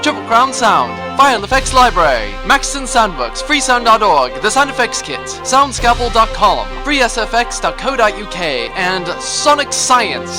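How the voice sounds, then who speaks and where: A man's voice: high-pitched, big pitch swings, normal speed, few pauses, over music. A man playing a grandiose old-time adventure serial announcer, reading a script theatrically. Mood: grand, theatrical.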